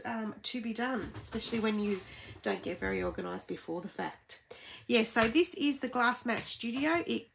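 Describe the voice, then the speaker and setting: A woman's voice: medium pitch, creaky, a little hiss or hum. An Australian woman with a blocked-up, congested nose, chatting casually as she hosts a live crafting stream, rambling with many fillers.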